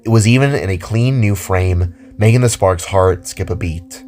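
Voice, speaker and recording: A man's voice: low-pitched, moderate pace, darkish tone. A man giving scripted narration as the storyteller of an audio drama. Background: background music.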